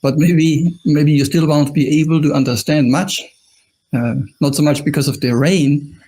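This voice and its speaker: A man's voice: a little high, fairly even intonation, moderate pace, dark tone, husky, poor audio. A man with an Austrian accent giving an informal Buddhist talk as a monk, conversational and often turning to his listeners with questions. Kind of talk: informal dhamma talk to an online audience.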